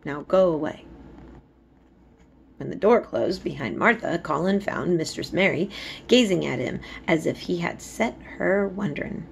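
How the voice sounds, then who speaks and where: A woman's voice: fairly low pitch, expressive, normal speed, creaky. A woman, reading a storybook aloud expressively like a teacher. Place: small room indoors. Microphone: phone at arm's length. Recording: faint room noise.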